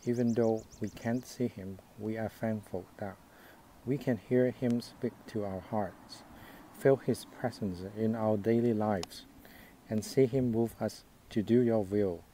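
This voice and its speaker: An earnest man in his forties, a native Cantonese speaker, teaching a children's Bible lesson in simple, careful words. His voice sits fairly low, with a faint hiss behind it. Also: steady tone, mellow, rough recording. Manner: earnest, simple and slow-paced. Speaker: Sunday school teacher. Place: outdoors in a park and a living room.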